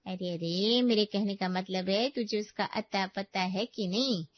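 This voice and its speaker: A woman's voice: medium pitch, a little slow, muffled recording, natural voice. A woman voicing a nosy middle-aged neighborhood aunty in a cartoon story, gossipy, teasing and prying.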